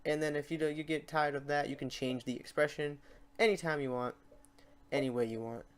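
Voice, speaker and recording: A man hosting a software tutorial in a casual, unscripted way, talking to himself as he works through the steps. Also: fairly high pitch, fairly even intonation, a little fast, clear, resonant tone. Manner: informal, talks to himself while clicking through steps. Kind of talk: casual unscripted screen-recorded tutorial narration.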